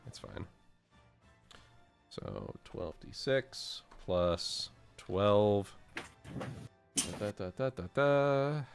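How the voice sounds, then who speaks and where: A man's voice: fairly low pitch, animated, extremely slow, vocal fry, pauses often, soft background music. A man playing a tabletop game, chatting casually, repeating short phrases and muttering sums aloud.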